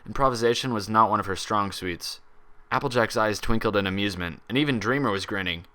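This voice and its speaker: Male narrator for fan fiction audiobook. Lowish, bright and forceful, expressive read. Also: quick pace, smooth. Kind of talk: expressive scripted story narration.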